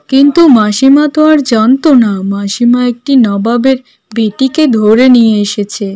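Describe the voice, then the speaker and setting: A gossiping village sister-in-law, played by a woman, speaks in a somewhat high voice. Her cartoon delivery is mock-pitying and needling. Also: darkish tone. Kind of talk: cartoon dialogue.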